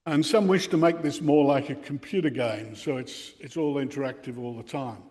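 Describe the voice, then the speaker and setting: An older man giving an informal explanatory talk, reflective and halting in his phrasing. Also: mid-range voice, warm tone. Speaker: presenter giving a talk on radio contesting. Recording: reverberant hall sound.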